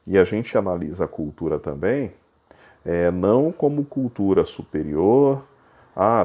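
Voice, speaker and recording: A man teaching a recorded lecture, explaining step by step and repeating key points, sometimes drawing words out slowly for emphasis. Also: low voice, lo-fi sound.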